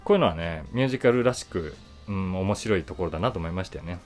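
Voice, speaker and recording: A man's voice: sits low, expressive, fast talker, faint music behind. A man in his forties thinking aloud in a casual, reflective monologue to camera, stressing key words and trailing off into asides. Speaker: actor and voice actor hosting his own channel. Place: small indoor room, seated before camera.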